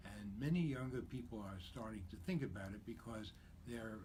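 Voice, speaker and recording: A man in his seventies with a lowish voice, explaining things in a steady, businesslike manner. He's a guest on a radio show, and there's a clear hiss of noise under the broadcast. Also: fairly quick. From born in Czechoslovakia, raised in New York. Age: 70s. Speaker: guest, cemetery broker. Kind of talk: radio interview.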